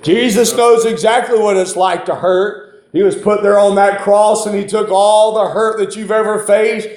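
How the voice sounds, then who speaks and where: A man's voice: sits high, fairly even intonation, normal speed, a little mellow. A man preaching a fervent evangelical sermon, emphatic and repetitive as he exhorts his listeners. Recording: echoey room.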